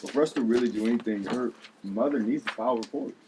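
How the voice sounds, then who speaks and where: A man's voice: mid-range voice, fast, background noise, natural voice. A man at a table read playing a reluctant policeman who sticks to the rules. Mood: firm, reluctant. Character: gruff by-the-book policeman, wounded over a rejected crush.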